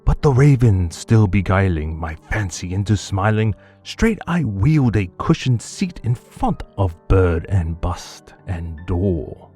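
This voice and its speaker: A man with a lowish voice reads a poem with deliberate, unhurried pacing. His delivery is theatrical, with pitch that leaps and falls widely, dropping to hushed murmurs and rising to anguished cries. Soft music plays faintly underneath. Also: sing-song, slightly rough. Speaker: voice actor. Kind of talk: dramatic poetry reading. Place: home studio.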